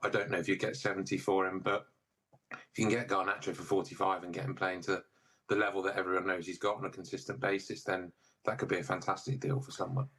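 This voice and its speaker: A man speaking as a football pundit in a broadcast panel discussion, measured and analytical. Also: low voice, level tone, fairly bright tone, slightly rough.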